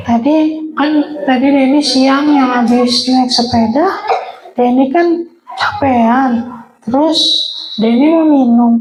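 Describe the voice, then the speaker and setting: An eager, earnest little boy from a children's story. The voice is very high and chatters along hesitantly, repeating words. The room echoes around it, with faint noise behind. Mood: earnest, tired pride. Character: Denny, earnest eager young boy in a children's moral tale. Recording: roomy echo, faint background noise.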